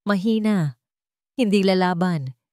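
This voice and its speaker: A woman's voice: medium pitch, measured pace, mellow, no echo, clean studio sound, pitched above the performer's own voice, close to an everyday voice. Woman narrator as a cold, determined betrayed wife. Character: betrayed wealthy wife, coldly determined and composed.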